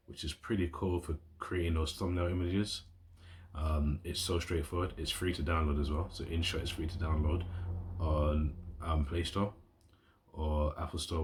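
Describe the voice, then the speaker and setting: A man's voice: rumbling low, darkish tone. A man chatting casually to his phone camera at home, rambling and enthusiastic as he shows off his gear. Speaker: YouTuber. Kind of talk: casual vlog talk to camera. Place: indoors at home, at a table. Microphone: phone camera, built-in mic.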